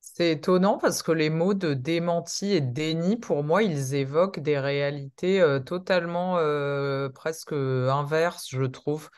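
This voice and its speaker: A seminar participant musing aloud with a reflective, searching manner and a few pauses. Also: mid-range voice, darkish tone, clear, resonant tone. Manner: reflective, thinking aloud, speeds up at the end.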